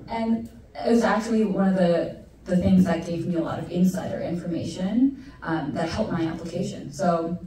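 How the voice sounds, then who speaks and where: A young woman speaks in a slightly low voice, pausing often with ums as she gathers her thoughts. She sits far from the mic in a very echoey room. Steady background noise runs under her. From United States (Alaska). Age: young adult. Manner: thoughtful, many ums and pauses. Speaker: panelist. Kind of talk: personal story at a panel.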